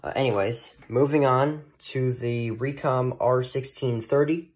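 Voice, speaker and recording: A man giving a casual, unscripted product showcase as a hobbyist, talking in a hesitant, rambling way. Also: medium pitch. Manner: hesitant, halting, rambling delivery. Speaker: hobbyist vlogger showing a weather radio collection.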